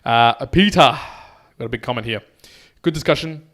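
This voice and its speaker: An Australian man hosting a podcast in a loose, chatty way and reading listener notes out loud. His voice sits at a middle pitch. He drops "mate" into the talk often. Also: moderate pace. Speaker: podcast co-host. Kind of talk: casual podcast chat, reading listener messages.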